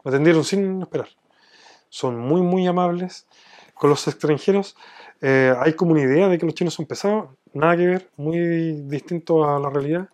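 A man's voice: on the high side, moderate pace, darkish tone. A man from Chile with a Chilean accent, explaining things from his own experience in a conversational interview. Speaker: business owner being interviewed.

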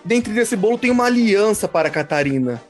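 Man playing a blustering, animated gluttonous suitor. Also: sits high, a little fast, soft background music. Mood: smug, confiding. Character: boorish, gluttonous male suitor, self-satisfied. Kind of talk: comic radio soap acting.

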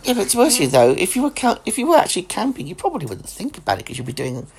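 A man's voice: high voice, wildly swooping pitch, racing, gravelly, a little mellow. A man, hosting a casual podcast chat and laughing often. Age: 40s. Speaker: podcast host.

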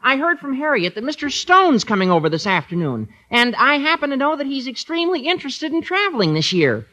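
An actor playing an eager, scheming teenage schoolboy in an exaggerated, wheedling radio sitcom style. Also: male voice, very high voice, very animated, brisk, pushed and bright, dull audio, exaggerated voice. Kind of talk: 1950s radio sitcom acting.

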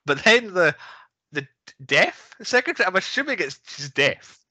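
A man hosting a casual film discussion, talking in a rambling way with animated asides. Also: high-pitched, normal speed, breathy edge, dry recording. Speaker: podcast host.

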